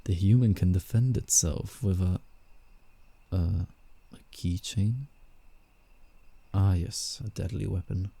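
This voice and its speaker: A man's voice: dry recording, heavily acted. A man playing a commanding, teasing alpha werewolf in a roleplay ASMR, with menace in his delivery. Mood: mocking. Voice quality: dark, gravelly low voice. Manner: slow, deliberate, teasing menace. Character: male alpha werewolf, predatory, teasing, commanding forest protector.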